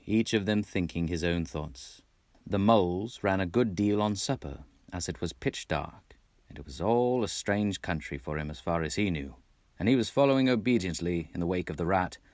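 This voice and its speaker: Texan guy reading a classic kids story, lowish voice, dramatic, dry recording. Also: lively intonation, moderate pace, dry recording. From Texas, United States. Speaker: amateur audiobook narrator. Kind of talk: expressive scripted storybook narration.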